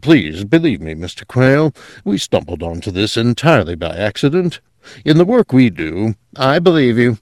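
A man's voice: medium pitch, sing-song, moderate pace, a little mellow, no room sound, clean studio sound, pitched above the performer's own voice, close to an everyday voice. A man voicing a pragmatic, cautious male company boss in an audiobook, speaking in a firm managerial way. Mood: placating.

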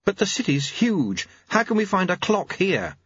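A man's voice: high voice, big pitch swings, normal speed, a bit bright, muffled recording, a little hiss or hum, pitched above the performer's own voice. A man voicing an earnest, anxious young male apprentice who questions and doubts, as an audiobook character. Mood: worried.

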